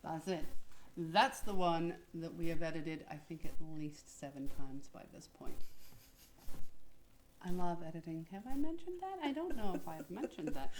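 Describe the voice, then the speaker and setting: A woman's voice: lowish voice, animated, unhurried. A woman author chatting casually and playfully about her own writing. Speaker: author reading and discussing own book. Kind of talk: casual chat and dramatic reading. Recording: clearly noisy home recording.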